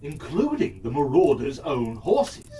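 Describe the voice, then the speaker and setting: A man narrating a scripted children's fairytale as a storyteller, expressive and deliberate. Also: mid-range voice. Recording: distant mic with faint background noise.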